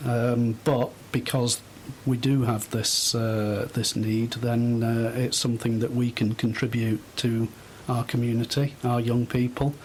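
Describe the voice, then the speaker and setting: A middle-aged man giving thoughtful, halting answers as a guest in an unscripted radio interview. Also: medium pitch, flat delivery, a little mellow, slight background noise, low-quality recording. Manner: hesitant, thoughtful, halting phrases. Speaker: interview guest, volunteer host.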